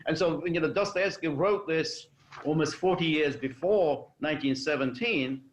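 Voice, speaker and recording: A man giving measured, explanatory commentary as a guest in a political panel discussion. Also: on the high side. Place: remote call for a quarantine show. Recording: dull lo-fi connection.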